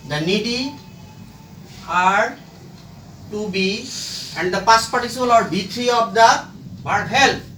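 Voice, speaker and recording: Very slow, high-voiced male grammar teacher. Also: sharp tone. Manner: deliberate, halting, emphatic phrase-by-phrase delivery. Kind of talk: instructional grammar lesson at a board. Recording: roomy echo, distant mic, faint noise.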